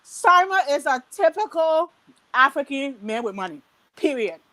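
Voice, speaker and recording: A woman from Liberia calls in over a video call with a high, bright voice. She pushes her opinions emphatically and often laughs between points. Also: big pitch swings, unhurried, poor audio. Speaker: caller. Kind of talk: casual opinionated chat.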